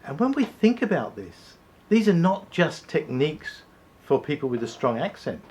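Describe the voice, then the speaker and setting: A man co-hosting a talk segment, speaking in a measured, deliberate way and slowing down to stress key points. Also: on the high side, sing-song, a little mellow. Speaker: co-host. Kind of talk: scripted conversational presenting.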